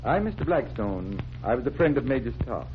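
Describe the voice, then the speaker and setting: An actor playing a brusque, determined magician-detective, insistent and authoritative, in 1940s radio drama style. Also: male voice, mid-range voice, very animated, a little fast, natural voice. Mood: firm, sympathetic. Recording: noisy, muffled vintage 1940s radio recording.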